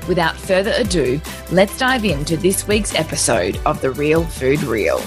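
A woman's voice: deep voice, normal speed. A woman hosting a podcast, reading a scripted, upbeat introduction. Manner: upbeat, lively read. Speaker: podcast host. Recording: music bed under voice.

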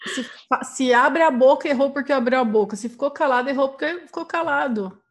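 Wry woman, fairly high voice. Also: brisk. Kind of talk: casual conversation.

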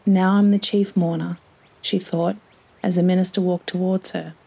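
A woman's voice: lowish voice, level tone, normal speed, vocal fry, dark tone, slight background noise. A woman reading a scripted story as its narrator.

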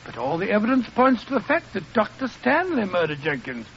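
An actor playing a detective's loyal, puzzled companion, earnest and questioning, in a radio drama. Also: male voice, sits high, wildly swooping pitch, fairly quick, a bit bright, exaggerated voice. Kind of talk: old radio drama acting. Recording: muffled old radio recording, faint noise.

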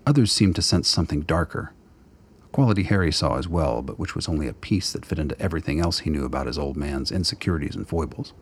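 A man narrating a scripted radio drama in a measured, literary storytelling manner. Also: a bass voice, animated, husky.

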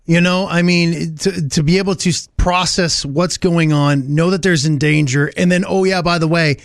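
A man's voice: fairly high pitch, fairly even intonation, moderate pace, no echo, clean studio sound. A man co-hosting a casual radio show, talking in an emphatic, folksy, informal way.

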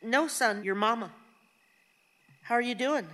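A woman's voice: a little high, unhurried, fairly bright tone. An actress playing an anxious, doting older mother of a grown son in a radio play. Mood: tender concern. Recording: very echoey reverberant room or hall.

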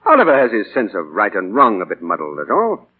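A man's voice: on the low side, huge pitch swings, a little fast, breathy edge, exaggerated voice. An earnest, dramatic doting father. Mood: gentle, troubled. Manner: earnest, theatrical, hesitant when moved. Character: doting, indulgent father and novelist, earnest and idealistic. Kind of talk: 1940s radio drama acting with diary narration. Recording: muffled old 1940 radio broadcast, music behind.

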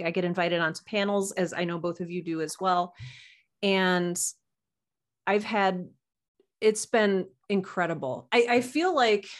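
A woman guest on a podcast, telling personal anecdotes in a casual, animated and halting way over a video call. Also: medium pitch.

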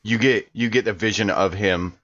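Lowish, slightly muffled male game player. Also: moderate pace, a bit bright. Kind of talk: casual in-character improvised banter.

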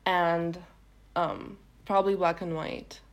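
A young woman from Turkey, a native Turkish speaker, talks through her ideas on a podcast. Her voice sits a little low, and she circles her thoughts with fillers and false starts. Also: flat delivery, slow pace. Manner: hesitant, many fillers and self-restarts. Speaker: guest poet. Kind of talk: casual podcast conversation.

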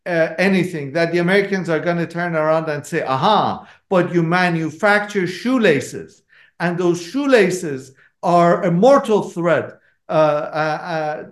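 A man's voice: on the high side, reverberant. A man with an Iranian accent, an author answering audience questions at a book talk, speaking emphatically with frequent fillers and restarts.

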